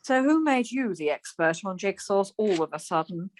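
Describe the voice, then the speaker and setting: A woman acting a chirpy, stubborn wife who is quick to retort, in a naturalistic radio play. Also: mid-range voice, lively intonation, darkish tone, no echo, close to an everyday voice. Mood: indignant, huffy. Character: Margaret, bright, stubborn, easily huffy wife in lockdown.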